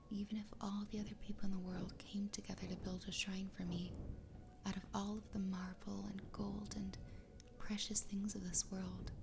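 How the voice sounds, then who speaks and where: A woman voicing an obsessively loving fox girl wife in a soft, breathy, tender ASMR roleplay whisper. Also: medium pitch, background noise, close to an everyday voice. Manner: tender, slow, intimate. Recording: close whisper over background music. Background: music.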